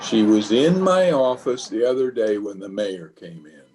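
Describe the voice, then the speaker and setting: A man's voice: on the low side, sing-song, moderate pace, darkish tone, slight background noise, low-quality recording. A man playing a flustered, blustering middle-aged bachelor in an old-time radio comedy, hemming and sheepish. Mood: flustered, evasive.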